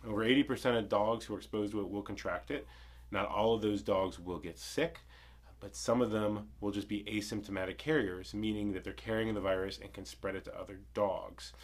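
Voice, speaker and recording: A man with a fairly low voice, a bit snuffly and congested from a cold. He speaks as a veterinarian addressing clients, calm and measured, laying out points one by one. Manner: plain, measured explanation, listing points. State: congested, getting over a cold. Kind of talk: informational clinic video.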